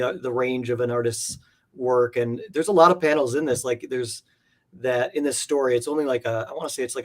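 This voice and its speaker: A man speaking casually as an interview guest, explaining things in a hesitant, circling way. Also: mid-range voice. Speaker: guest, comic art collector.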